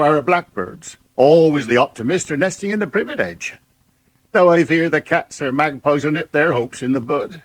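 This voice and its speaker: A man's voice: fairly high pitch, breathy edge, dry recording. An actor playing an older rustic countryman, narrating a folksy, ruminative monologue on life in a radio serial. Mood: wryly reflective. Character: old countryman musing on nature and village life.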